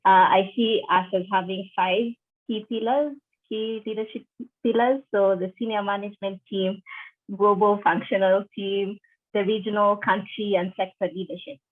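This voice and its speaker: A middle-aged woman from Kenya answers interview questions over a video call. She talks in a measured way, pausing on fillers and restarting her phrases. Her voice comes through thin and tinny, as over a call line. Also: medium pitch, fairly even intonation. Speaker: interviewee, deputy country director.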